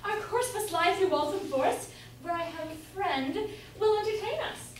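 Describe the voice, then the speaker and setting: A woman's voice: very high voice, animated, normal speed. A woman playing a coy, mockingly polite young merchant's daughter in an arch staged reading of a period comedy. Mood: resolute. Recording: very echoey room from far off, faint noise.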